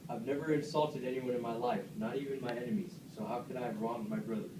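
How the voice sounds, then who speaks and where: A proud, wronged animal general acted by a man speaks in a puppet play. The voice is medium-pitched and distant, in a noisy room. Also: steady tone, fast, lightly acted. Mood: proud, offended. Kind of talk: amateur read-aloud puppet play. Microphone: far camera. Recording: echoey classroom, distant mic, clear noise.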